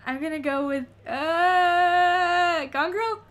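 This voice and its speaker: A woman's voice: very high voice, steady tone, slow pace, fairly bright tone. A young adult woman co-hosting a podcast in casual comedic chat, playful and slangy, given to exaggeration and repeating words for emphasis. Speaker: podcast co-host.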